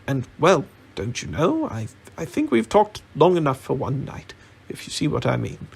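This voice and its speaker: A man's voice: mid-range voice, huge pitch swings, normal speed, vocal fry, breathy edge, full character voice. A man voicing a timid, polite little hobbit for a storybook, hesitant and rambling. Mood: nervous, rambling.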